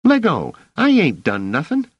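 A man voicing a scrappy, excitable young street boy in a squeaky, slangy, defiant voice for an audiobook. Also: a little slow, slightly rough, no echo, dull audio, heavily acted. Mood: alarmed. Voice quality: squeaky, shrill. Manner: slangy street talk with dropped endings.

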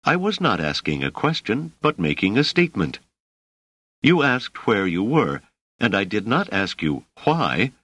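A man's voice: fairly low pitch, sing-song, measured pace, a bit bright, husky, no room sound, voice pushed up, exaggerated voice. A man voicing a plump, merry, middle-aged motherly woman in an audiobook, gracious and politely chatty. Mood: pleasantly amused.

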